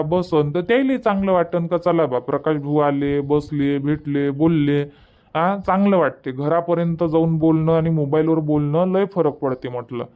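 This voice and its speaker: A man's voice: a little high, a little mellow, lightly acted. A man voicing an easygoing, stubborn village husband in a cartoon comedy, chatty and colloquial, with a rural Varhadi Marathi accent. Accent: rural Varhadi Marathi from Vidarbha. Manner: casual, colloquial, explaining at length.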